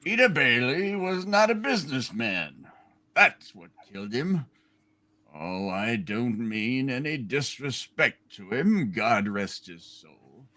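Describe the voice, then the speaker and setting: A man voicing a cold, contemptuous old rich banker with a pompous, deliberate delivery in an amateur radio-play reading. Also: mid-range voice, very animated, bright, forceful tone, voice pushed down, exaggerated voice. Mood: scornful.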